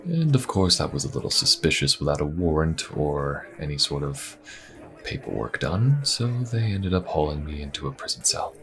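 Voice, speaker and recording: A man playing a weary, easygoing inquisitor in a fantasy audio roleplay, chatting in a wry, conversational way with musing pauses. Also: sing-song, fairly bright tone, heavily acted. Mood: wry, reminiscing. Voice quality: very deep, gravelly. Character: weary, easygoing adult male Inquisition agent and adventurer. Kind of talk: fantasy audio roleplay, in-character small talk to the listener.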